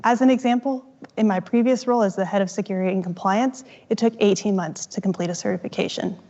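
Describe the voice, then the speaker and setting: A woman co-founder giving an upbeat, emphatic startup pitch on stage. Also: quick pace, mellow, lo-fi sound.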